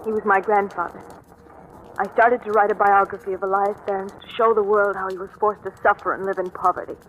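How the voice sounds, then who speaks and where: A woman's voice: medium pitch, level tone, a little fast, a bit bright, a little hiss or hum, close to an everyday voice. A woman playing an anxious, pleading young woman in a 1940s radio drama, earnest and melodramatic. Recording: dull vintage radio recording.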